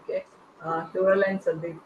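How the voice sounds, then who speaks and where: A panel host of unclear sex speaking informally and a little haltingly while moderating questions. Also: medium pitch, flat delivery. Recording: echoey, dull online call with faint noise.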